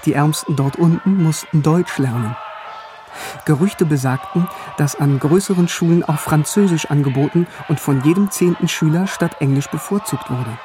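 An actor playing a bookish man wryly recalling his teenage years in a radio drama, in a measured, reflective way. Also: on the high side, dark tone, soft background music, close to an everyday voice. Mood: wry, wistful. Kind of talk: radio drama first-person narration and scenes.

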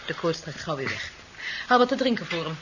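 Fast, lively, higher-voiced capable woman. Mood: caring. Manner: brisk, solicitous, organising. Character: caring, capable, quick-witted rebel woman. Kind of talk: 1970s Dutch radio drama acting. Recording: muffled, noisy 1970s radio recording.

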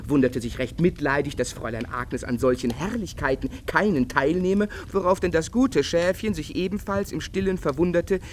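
A man's voice: a little high, a little hiss or hum, natural voice. A man playing a passionate, brooding young painter in love in a literary radio drama, declamatory and agitated, with old-fashioned diction.

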